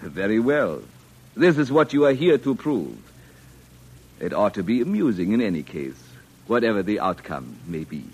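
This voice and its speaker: An actor playing a courtly, condescending alien official with formal, measured speech in a 1950s radio play. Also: male voice, on the low side, very animated, background noise. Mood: politely condescending.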